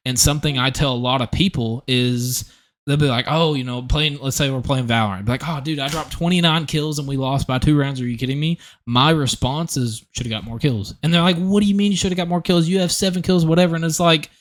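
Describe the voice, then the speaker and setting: A man's voice: a little high, steady tone, fast talker. A young man hosting a gaming podcast, talking in an earnest, rambling way with many restarts. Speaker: podcast host. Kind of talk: casual podcast conversation.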